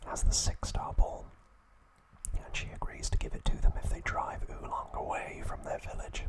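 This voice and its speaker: A man whispering slowly and deliberately in short, hushed phrases, narrating as an ASMR host close to the microphone. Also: rough recording. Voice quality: breathy, unvoiced whisper.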